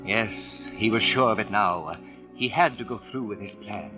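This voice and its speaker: Suave male crime writer played by an actor, low and theatrical, old radio mystery with music behind. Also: highs cut off, close to an everyday voice. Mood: ominous, suspenseful. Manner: theatrical, precise, savoring pauses and hesitations. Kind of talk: 1940s radio mystery acting.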